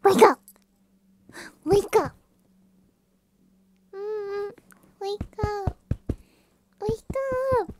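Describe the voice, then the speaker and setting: A woman's voice: very high voice, fairly even intonation, extremely slow, long pauses, darkish tone, heavily acted. A young woman playing a clingy, playful puppy girl in an ASMR roleplay, whining and pleading to the listener. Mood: whiny pleading. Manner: whiny pleading with yips and giggles.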